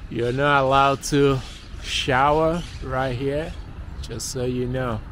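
A man strolls through a park and talks to the camera in an easy, unhurried way, often dropping into quiet asides. His pitch sits in a middle range. The outdoor camera audio picks up a clear wash of ambient noise around him. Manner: relaxed, chatty, trailing off into quiet asides. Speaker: walking tour vlogger. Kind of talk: casual walking narration. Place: outdoors in a sunny city park by a pond. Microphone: camera-mounted mic, speaker off screen.

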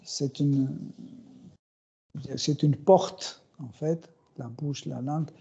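Slow husky man teaching, muffled. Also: a little high, steady tone, mellow. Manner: slow, hesitant, many fillers and chuckles. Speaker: spiritual teacher. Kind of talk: scripture class talk. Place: small room with bookshelves, indoors. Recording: dull, muffled recording.

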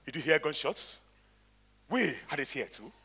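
A man with a Nigerian accent acting on stage as an anguished, excitable old retired palace guard, with a raspy, theatrical voice. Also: on the high side, moderate pace, full character voice. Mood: wary, questioning. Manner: exclamatory, theatrical.

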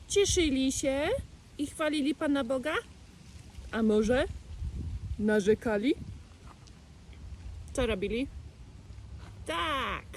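A woman with a high voice hosts a quiz for children. She puts playful questions slowly and leaves space between them. A faint ambient noise sits behind her. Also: low-quality recording.

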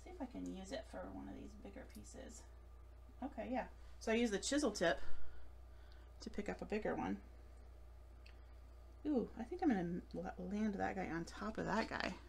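A woman's voice: mid-range voice, expressive, normal speed, creaky, long pauses, darkish tone, a little hiss or hum. A woman in her late thirties giving a live craft demonstration, chatty and giggly with self-deprecating asides, recorded at a table under an overhead camera. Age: late 30s. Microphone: overhead camera above table.

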